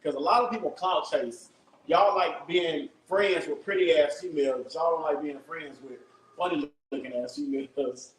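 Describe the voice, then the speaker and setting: A hyped-up man with a drawl hosts a casual livestream, his voice sitting a bit high for a man. He talks loud, swears freely and keeps turning to his viewers. The room is echoey, with a faint hum underneath. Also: normal speed. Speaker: livestream host. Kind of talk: casual live-stream chat.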